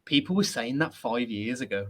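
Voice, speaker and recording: A man hosting a football chat show, chatty, energetic and self-deprecating, speaking without a headset at a laptop setup. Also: mid-range voice, moderate pace. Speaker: host.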